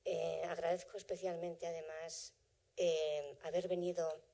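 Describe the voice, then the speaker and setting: A woman's voice: fairly low pitch, flat delivery, unhurried, vocal fry, dark tone. A middle-aged woman, a native Basque speaker from the Basque Country, giving a thoughtful and carefully worded conference lecture as a historian of medicine.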